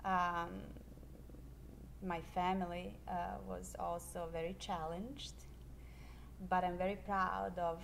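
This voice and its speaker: A middle-aged woman from Serbia, a high school history teacher, speaking in a measured, earnest way with many pauses in a classroom interview on a handheld mic. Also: little pitch movement, creaky, slight background noise. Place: classroom, indoors.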